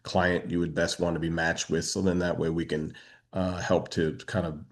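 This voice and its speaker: Deep voice guy hosting a networking video call, casual, pauses a lot mid sentence. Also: flat delivery. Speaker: meetup host and moderator. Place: online video meeting.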